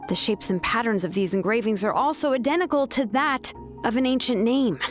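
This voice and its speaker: A woman's voice: on the high side, close to an everyday voice. A woman playing a composed, shrewd young craftswoman in a video game. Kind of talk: dramatic video game voice acting.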